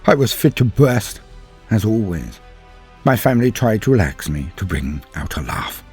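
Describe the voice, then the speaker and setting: A man narrating a story in a solemn, theatrical, dramatic style with a husky voice and drawn-out phrases. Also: on the low side, sing-song, darkish tone. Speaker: storyteller narrating fan fiction. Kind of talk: dramatic scripted first-person narration. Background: music.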